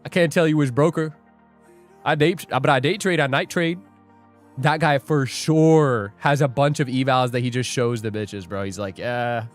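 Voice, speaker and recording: A man's voice: mid-range voice, fairly even intonation, normal speed, soft background music. A young man hosting a live trading stream, joking and rambling as he reads viewer comments aloud. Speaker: livestream day trader host. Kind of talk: casual livestream chat.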